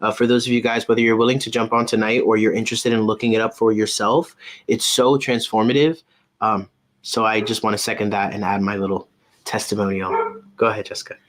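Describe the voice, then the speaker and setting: A man's voice: fairly low pitch, little pitch movement, brisk. A man chatting casually and supportively as co-host of a live stream over a video call.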